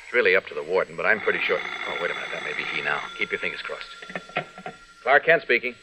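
An actor playing an earnest, reassuring, businesslike newspaper reporter in an old radio drama. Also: male voice, sits low, expressive, fast talker. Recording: old radio recording, faint noise.

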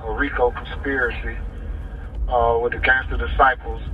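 A man's voice: mid-range voice, flat delivery, moderate pace. A man being interviewed over a prison phone call, talking casually in informal street slang and often checking that he is followed. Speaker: interview guest calling from prison. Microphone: telephone. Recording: thin prison phone line, noisy, distant.

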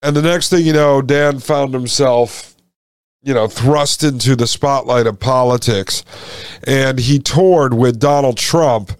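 A man's voice: mid-range voice, unhurried, clear, resonant tone, dry recording, clean studio sound. Stuffed-up man hosting a podcast. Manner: chatty, addresses listeners as folks. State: stuffed up with a slight cold. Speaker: podcast host. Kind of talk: casual podcast monologue.

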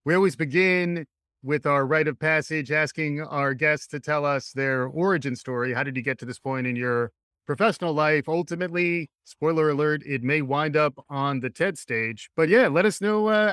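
A man hosts a podcast interview in a smooth, clear voice, upbeat and ready with a joke. His questions run long and loose. The recording is dry and close. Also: on the high side, normal speed, fairly bright tone, no room sound. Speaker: podcast host.

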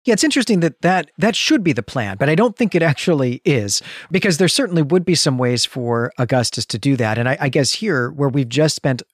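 A man co-hosting a literary podcast, explaining and analysing in a measured, articulate way. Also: fairly high pitch, animated, no echo, clean studio sound. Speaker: podcast host.

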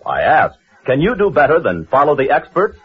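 Very animated American radio pitchman, gravelly rasp. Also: normal speed. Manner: punchy, emphatic salesman delivery. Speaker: radio commercial announcer. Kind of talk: 1940s radio advertising pitch. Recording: tinny, dull vintage radio recording.

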